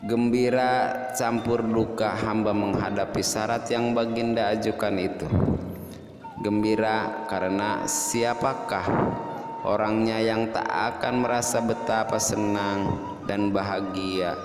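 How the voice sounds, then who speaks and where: A man's voice: lowish voice, level tone, takes it slowly. A man voicing a humble, honest, torn young prince in a folktale drama, with deferential, flowery courtly speech. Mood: torn, reverent. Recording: echoey long reverb, music behind.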